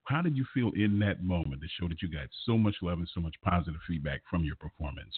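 A man's voice: low voice, expressive, fast talker, slightly rough, phone-quality sound. A man hosting a conversational radio interview, encouraging and complimentary toward his guest. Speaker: radio show host interviewing a singer.

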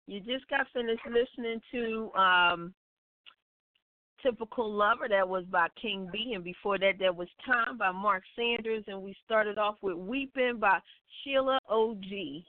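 A woman's voice: mid-range voice, a little slow, no echo. A woman hosting a music show in a casual, chatty way, informally repeating her phrases as she goes. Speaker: radio show host. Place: outdoors at a block party. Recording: tinny phone line.

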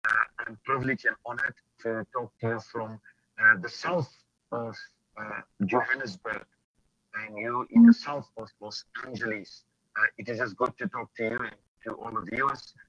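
A hoarse South African man, a pastor, introducing himself on an online panel, with frequent filler pauses. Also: mid-range voice, fairly even intonation, sharp tone, rough recording.

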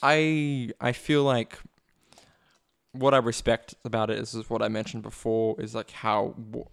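A man co-hosting a podcast, speaking haltingly about films with repeated starts. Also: medium pitch, flat delivery, gravelly, clean tone. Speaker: podcast co-host. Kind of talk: casual film review chat.

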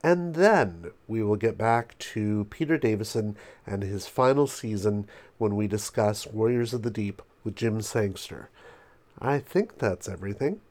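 A man's voice: on the low side, lively intonation, a little slow, darkish tone. A congested man hosting a book club podcast, talking in a casual, dry, self-mocking way. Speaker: podcast host.

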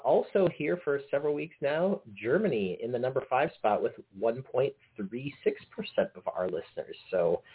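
Chuckling man with raspy, phone-thin sound. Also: medium pitch. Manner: easygoing, chuckling, many fillers. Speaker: podcast co-host. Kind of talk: casual chat. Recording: tinny phone-like audio.

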